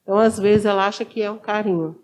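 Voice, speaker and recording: A woman's voice: medium pitch, steady tone, fast, darkish tone, a little hiss or hum. A woman speaking as an interview guest, explaining didactically and repeating phrases for emphasis. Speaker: interview guest, social worker.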